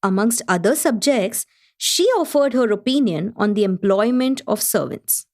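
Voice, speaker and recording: A woman's voice: mid-range voice, lively intonation, normal speed, a little mellow, lightly acted. An actress playing a learned Indian woman who hosts a literary gathering, narrating formally and with poise in a radio play. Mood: poised, informative. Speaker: host.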